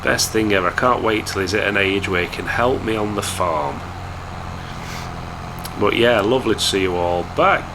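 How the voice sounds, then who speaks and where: A young man from England with a hoarse voice from a cold, chatting casually to viewers while commentating a game. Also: fairly low pitch, moderate pace, background noise. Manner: chatty, relaxed, talks straight to viewers. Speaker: gaming YouTuber.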